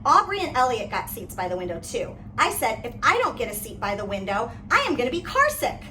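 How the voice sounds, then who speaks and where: A woman reads a children's story aloud with expressive, dramatic phrasing. Her voice is fairly high and swings widely in pitch. It is picked up from a little distance, with clear background noise. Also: fairly quick. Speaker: read-aloud reader. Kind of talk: animated picture-book read-aloud. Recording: noisy, distant microphone.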